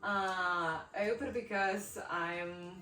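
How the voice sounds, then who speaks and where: A woman's voice: lowish voice, fairly even intonation, extremely slow, sharp tone, recorded from a distance, soft background music. Young Ukrainian woman chatting, echoey room. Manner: thoughtful, hesitant, many fillers. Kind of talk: casual chat.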